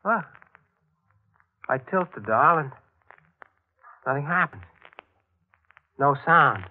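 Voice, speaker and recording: An actor playing an earnest, easily shaken young newspaper reporter in a 1940s radio mystery. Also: male voice, on the high side, expressive, slow. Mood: relieved, wistful. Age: young adult. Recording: muffled vintage 1940s radio recording.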